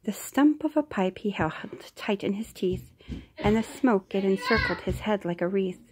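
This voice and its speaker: A woman's voice: medium pitch, expressive, moderate pace. Mother reading a storybook aloud. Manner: gentle, with soft whispered asides.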